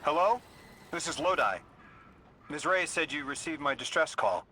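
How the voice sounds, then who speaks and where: Bright-voiced, bookish, courteous translator. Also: male voice, fairly high pitch, expressive, normal speed, slight background noise. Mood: courteous, eager. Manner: hesitant, apologetic, formal and eager. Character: flustered, courteous, bookish male translator and emissary. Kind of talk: video game voice acting.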